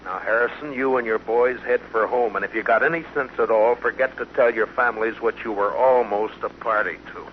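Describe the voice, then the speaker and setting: An actor playing a stern, commanding marshal in an old-time radio western, speaking with firm authority. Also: male voice, medium pitch, fairly quick, few pauses, close to an everyday voice. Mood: menacing calm. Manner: authoritative, firm, clipped orders. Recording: dull vintage radio recording, faint noise.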